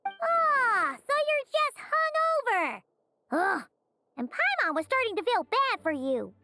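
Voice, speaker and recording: Tiny cheeky game fairy: squeaky, sky-high, wildly animated, perky, light music behind. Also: female voice, takes it slowly, exaggerated voice. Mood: unimpressed. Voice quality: squeaky, childlike. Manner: perky, exclamatory, blunt. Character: tiny cheeky childlike floating fairy companion. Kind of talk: anime game voice acting.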